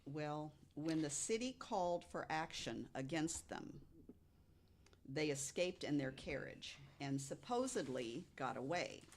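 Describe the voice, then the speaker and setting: Low-voiced woman telling a ghost story. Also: animated, unhurried, a little hiss or hum. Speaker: podcast guest co-host.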